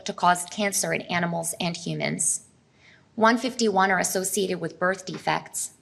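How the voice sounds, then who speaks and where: A middle-aged woman presents to a live audience, earnest and explanatory. Her pitch sits at an ordinary middle height for a woman, and she now and then turns to ask the crowd a question. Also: vocal fry. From Serbia. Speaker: speaker at a health expo.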